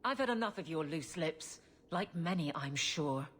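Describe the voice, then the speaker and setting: An actress playing a stern, awkward, lovesick guard captain in a video game, blunt and earnest, with a husky voice. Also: female voice, sits low, expressive, normal speed, fairly bright tone, full character voice. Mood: indignant. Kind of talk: video game character acting.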